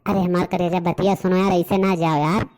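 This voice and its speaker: A woman's voice: fairly low pitch, flat delivery, brisk, a little mellow, close to an everyday voice. A woman voicing a lovestruck young village lad in a comic cartoon, with an Awadhi accent, coaxing and pleading with pet names. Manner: wheedling, full of pet names. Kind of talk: comic rustic cartoon dialogue.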